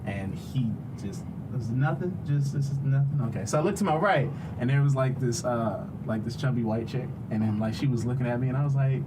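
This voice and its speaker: A man who tells jokes as he hosts a casual podcast, his voice jumping up when he gets excited. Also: medium pitch, fairly quick, hardly any pauses, recorded from a distance, background noise. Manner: joking storyteller, voice jumps up when excited. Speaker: podcast host.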